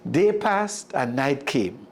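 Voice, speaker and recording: This is a Caribbean storyteller reading a folk tale aloud. The delivery is expressive, with a pitch that moves up and down in a lively way. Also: takes it slowly.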